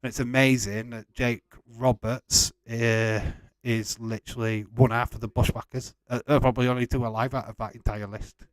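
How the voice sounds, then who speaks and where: A man in his forties with a Yorkshire accent chats casually as a livestream host. His voice is mid-pitched, and his delivery is blunt, chummy and informal. The sound is dry, with very little room echo. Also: flat delivery, moderate pace, hardly any pauses. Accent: Yorkshire English. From West Yorkshire, England. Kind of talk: casual watch-along chat, reading out viewer comments.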